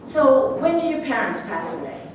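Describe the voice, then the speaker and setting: An actress in a staged play reading, playing a composed doctor who questions a defendant in a measured, professional way to assess his sanity. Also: sits high, sing-song. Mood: probing. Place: staged reading in an echoey room. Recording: echoey room, distant mic, faint noise.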